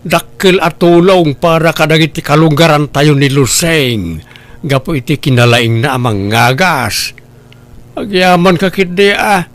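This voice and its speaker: A man's voice: a little high, unhurried, fairly bright tone. A man acting a patient, conciliatory middle-aged husband and father in an Ilocano comedy drama, speaking with an Ilocano accent in a reasoning, placating way. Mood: exasperated, reasoning. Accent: Ilocano, northern Philippines.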